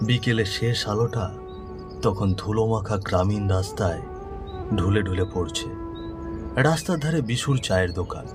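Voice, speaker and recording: Man reading a spooky story, lowish voice, suspenseful, loud music and rain behind. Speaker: narrator. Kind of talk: scripted horror story narration.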